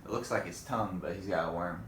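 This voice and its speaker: A man's voice: low-pitched, fast. A man reading a storybook aloud to children, expressively, with chatty asides to his young listeners. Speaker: storybook reader. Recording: echoey room, faint background noise.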